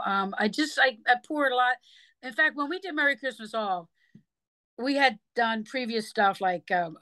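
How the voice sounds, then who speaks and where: Bright, lively woman singer telling stories. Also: medium pitch, no echo. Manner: animated storyteller who breaks into scat sounds. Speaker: singer and guest. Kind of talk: casual interview chat.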